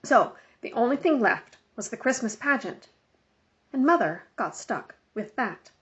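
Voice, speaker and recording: A woman narrating a children's book aloud in an expressive, scripted storytelling style. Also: on the high side, measured pace. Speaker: storybook reader. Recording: dull lo-fi home recording.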